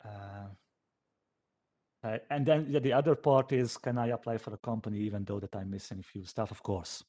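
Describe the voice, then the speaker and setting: A man, a developer informally answering questions after a talk in a hesitant, halting way, as if thinking aloud. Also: on the low side, steady tone, mellow. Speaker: software developer giving a conference talk.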